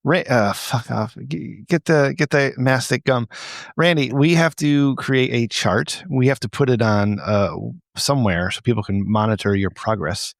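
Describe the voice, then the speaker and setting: A man's voice: mid-range voice, normal speed, gravelly, few pauses, no room sound. Casual, joking male podcast host, close and dry. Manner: teasing, laughs easily. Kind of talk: casual comedic banter, profane. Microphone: close mic on a group remote call.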